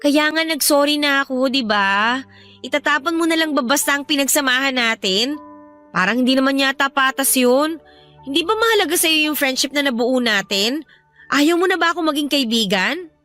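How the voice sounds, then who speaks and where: An actress playing an evasive young lover seeking forgiveness, speaking with a Filipino accent in a coaxing, apologetic way in a radio drama. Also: female voice, high-pitched, lively intonation, normal speed, pushed and bright, faint music behind. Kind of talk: radio drama acting in Filipino love story.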